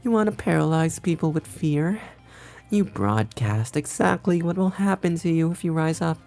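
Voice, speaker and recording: A woman playing a sly, cocky turncoat schemer in a visual novel game, with smug, teasing, drawn-out delivery. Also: low voice, darkish tone, faint music behind. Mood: cold, explaining.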